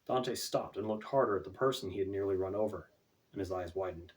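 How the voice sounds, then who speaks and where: A man's voice: deep voice, brisk. A man reading his own fantasy novel as scripted audiobook narration, recorded away from the microphone.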